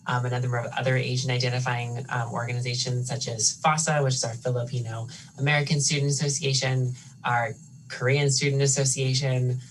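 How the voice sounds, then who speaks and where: A man from student life answering on a panel, soft-spoken but enthusiastic, correcting himself as he goes. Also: medium pitch. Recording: distant mic, faint hum.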